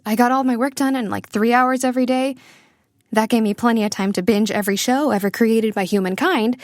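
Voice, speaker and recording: A woman's voice: on the high side, no echo, studio quality, lightly acted. An actress playing a wistful, wry young woman far from her family, telling her story conversationally in an audio drama monologue. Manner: conversational, confiding.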